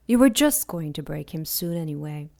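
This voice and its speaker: A woman's voice: low-pitched, sing-song, normal speed, vocal fry, warm tone, full character voice. An actress playing an ancient female demon in an audio drama, proud, wicked and taunting. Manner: sardonic, taunting.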